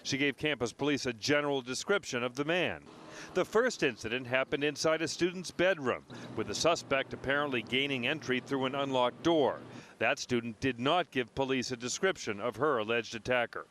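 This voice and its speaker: A man's voice: medium pitch, normal speed, fairly bright tone, slight background noise. A man reporting live for television news in a scripted broadcast style.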